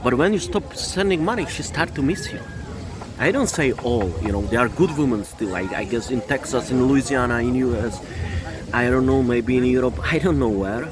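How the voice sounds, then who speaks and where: Male vlogger, halting and repetitive, opinionated monologue, mid-range voice. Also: mid-range voice, expressive, a crowd in the background. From Czech Republic. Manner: halting, restarts phrases, repeats himself.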